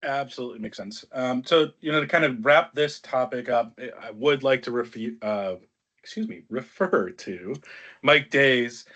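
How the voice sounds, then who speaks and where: A man's voice: mid-range voice, lively intonation, normal speed, a bit bright. A man moderating a business panel discussion as webinar host. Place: online webinar call. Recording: dull, band-limited online call audio.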